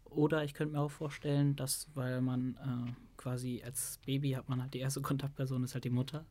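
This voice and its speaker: A man taking part in a classroom discussion, calmly explaining his view. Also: mid-range voice, a little fast. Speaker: discussion participant.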